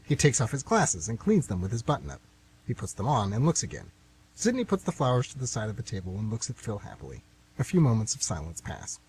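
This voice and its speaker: A man's voice: lowish voice, wildly swooping pitch, quick pace, darkish tone, slight background noise, poor audio. A man narrating and announcing a scripted radio theatre play.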